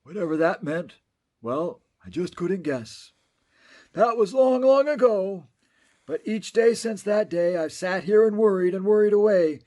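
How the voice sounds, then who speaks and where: A man's voice: high voice, lively intonation, measured pace, mellow. A man reading a children's story aloud in rhythmic, theatrical rhyme. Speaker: storybook reader.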